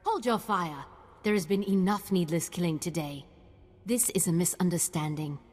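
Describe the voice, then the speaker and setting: Composed aristocratic negotiator female actor, lowish, formal, measured game delivery, hall reverb. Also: darkish tone, natural voice. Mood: grave, measured. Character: grave, composed noblewoman negotiating as peacemaker. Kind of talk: video game dialogue.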